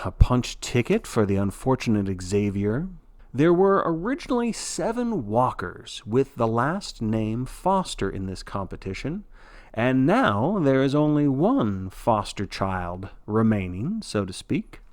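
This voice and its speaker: Man playing a folksy, animated sports broadcaster. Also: mid-range voice, slow. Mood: blithe, smooth. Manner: folksy, showy, blithely cheerful about grim events. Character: jovial male sports broadcaster of a dystopian death march. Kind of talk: play-by-play sports commentary.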